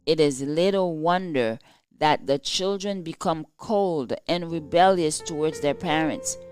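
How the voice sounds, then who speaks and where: A woman reading a scripted religious devotional in a measured, solemn and formal manner. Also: low-pitched, clear, resonant tone. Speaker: devotional reader. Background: soft instrumental music.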